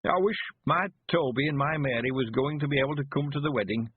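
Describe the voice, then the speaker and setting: A man voicing a cheerful, frail 91-year-old man, quavering and slow, rustic and stubborn. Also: mid-range voice, slight background noise, pitched above the performer's own voice, natural voice. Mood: wistful. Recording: phone-like lo-fi sound.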